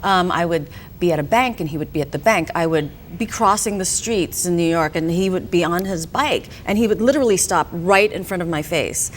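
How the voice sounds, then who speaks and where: A woman's voice: on the low side, a little fast, a little hiss or hum. A woman from Hong Kong, a director and actress, answering interview questions in a chatty way with many fillers. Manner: chatty, frequent "you know" and "um". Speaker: film director and actress, guest.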